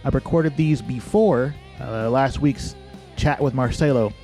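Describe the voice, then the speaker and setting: A man hosts a podcast in a mellow, warm voice, delivering a measured, friendly introduction. Soft guitar music plays faintly behind him. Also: medium pitch. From Dayton, Ohio. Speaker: podcast host. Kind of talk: scripted podcast intro and interview.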